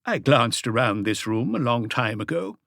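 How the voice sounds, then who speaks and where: A man voicing an evasive, haughty wealthy husband in an audiobook, speaking in a formal, defensive, halting way. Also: medium pitch, sing-song, creaky, a bit bright, no echo, clean studio sound.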